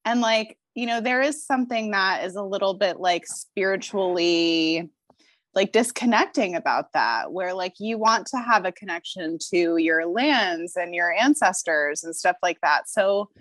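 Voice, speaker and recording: A woman guest on a podcast, animated and opinionated, talking through astrology with plenty of filler words and the odd curse. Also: mid-range voice, a little slow, no echo. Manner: animated, opinionated, swears, lots of filler likes. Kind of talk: casual podcast chat.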